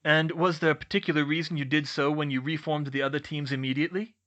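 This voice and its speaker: A man's voice: a little high, fairly even intonation, fast talker, a bit bright, highs cut off, natural voice. A man voicing a polite, genial but beleaguered middle-aged government boss with a wry manner, in an audiobook. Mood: polite, businesslike. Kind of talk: audiobook character voice.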